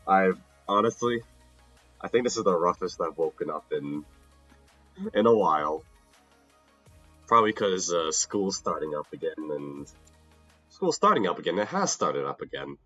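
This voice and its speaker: A man's voice: deep voice, sing-song, normal speed, pauses often, fairly bright tone, breathy edge, muffled recording, soft background music. A young man chatting casually on a livestream as he plays a game. He is a little sick and tired, his voice slightly nasal, and he rambles and restarts his sentences often. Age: young adult. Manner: rambling, self-interrupting, laughs often. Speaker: streamer. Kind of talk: casual livestream chat while gaming.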